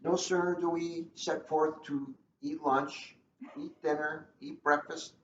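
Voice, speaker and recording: A man with a fairly high voice reads to children in an informal storybook style. The sound is lo-fi and dull, with faint noise behind him. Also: steady tone, takes it slowly, reverberant. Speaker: police officer reading to children. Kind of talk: storybook read-aloud with casual asides.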